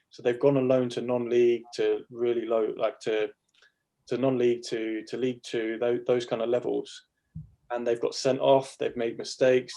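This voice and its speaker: A man's voice: mid-range voice, creaky, dark tone. A man co-hosting a podcast, talking in an encouraging, earnest way on a video call. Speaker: podcast co-host. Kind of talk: podcast interview chat.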